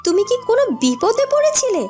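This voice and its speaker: Woman voicing a high-pitched proud young princess. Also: sing-song, brisk, warm tone, faint music behind. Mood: puzzled, haughty. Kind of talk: dramatic fairy-tale cartoon acting.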